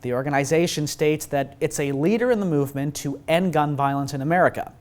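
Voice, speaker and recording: A man's voice: a little high, fairly quick. A man working as a news reporter, reading a short intro and then asking tentative questions with fillers.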